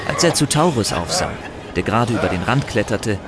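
A man narrating a fantasy audio drama in a measured, epic storytelling manner. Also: on the low side, big pitch swings, heavy background noise. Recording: loud music bed under the voice.

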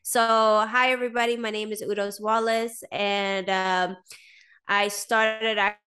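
Chatty Swedish-raised woman on a clear mic. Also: medium pitch, little pitch movement, a little slow, pushed and bright. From Sweden. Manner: chatty, many fillers and "like". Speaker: podcast guest. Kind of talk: casual interview talk. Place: small indoor room, video call. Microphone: desk microphone in frame.

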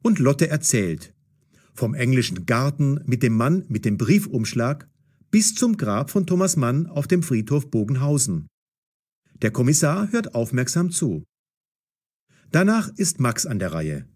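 A man's voice: mid-range voice, very animated, measured pace, darkish tone. A man narrating a scripted story as the narrator of an audio drama.

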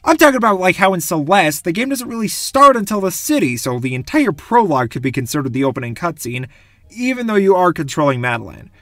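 A man's voice: sits high, fast, no echo. A young man giving enthusiastic, gushing scripted commentary as a video game reviewer. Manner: gushing, animated.

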